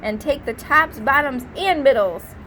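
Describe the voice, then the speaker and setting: A woman's voice: high-pitched, unhurried, a bit bright, voice pushed up. Woman playing grumpy, emphatic storybook bear. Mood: indignant. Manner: scolding, emphatic. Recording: light background noise.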